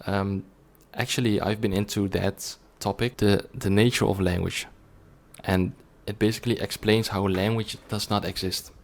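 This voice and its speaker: A man with a fairly low voice presents an explanatory monologue on video. He speaks earnestly and didactically, addressing viewers directly. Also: steady tone, moderate pace. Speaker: language learning video presenter.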